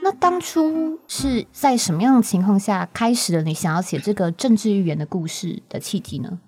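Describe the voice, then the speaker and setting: Mellow Taiwanese woman hosting a podcast. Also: medium pitch, expressive, normal speed, breathy edge, no room sound, soft background music. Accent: Taiwanese Mandarin. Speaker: podcast host, scriptwriter. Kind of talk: casual conversational interviewing.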